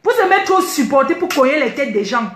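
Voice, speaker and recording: A high-pitched woman speaks, her delivery indignant and emphatic as she keeps circling back to her points. She addresses her viewers directly. The voice rings in a reverberant room. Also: very animated, fairly quick, a bit bright. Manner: indignant, emphatic, repeats herself. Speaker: online video commentator. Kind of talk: heated personal rant to viewers. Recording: echoey room.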